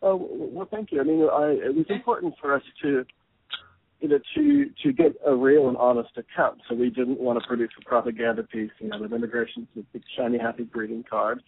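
Gravelly man on a narrow phone-like line. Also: medium pitch, brisk. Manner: lively, thoughtful, restarts phrases. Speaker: anthology editor. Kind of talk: radio interview answers.

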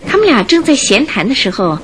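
A woman giving scripted, expressive storytelling narration for a Chinese radio drama in a measured manner. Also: a little high, slight background noise.

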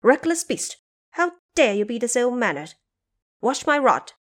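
A woman's voice: on the high side, big pitch swings, unhurried, voice pushed up. A woman voicing a bold, scornful warrior prince who serves a goddess, in an audio drama story. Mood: angry challenge. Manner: haughty, challenging.

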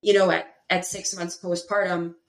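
A woman explaining fitness in a casual, animated way, with many fillers and rhetorical questions. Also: fairly low pitch, normal speed. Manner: animated, lots of filler words and rhetorical "right?". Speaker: pelvic health and fitness expert guest. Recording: echoey room.

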